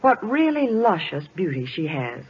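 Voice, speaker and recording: A woman gives a scripted 1940s radio promotion as a reporter. Her intonation is lively and varied. The recording is muffled, like an old broadcast. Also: on the low side, normal speed, slight background noise. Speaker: Hollywood reporter on radio show.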